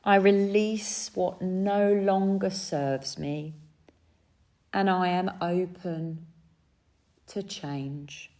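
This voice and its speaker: A woman with a low, soft-edged voice guides a deep relaxation practice. She speaks very slowly and gently, leaving space between short phrases. Her tone is dark and mellow, soothing the listener through each step. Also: steady tone. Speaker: yoga nidra guide.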